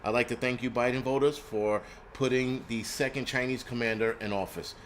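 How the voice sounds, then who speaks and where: A man's voice: mid-range voice, steady tone, normal speed, a little hiss or hum. Blunt, profane New man in his forties. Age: 40s. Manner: rambling with frequent restarts. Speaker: host. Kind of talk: casual live-stream talk.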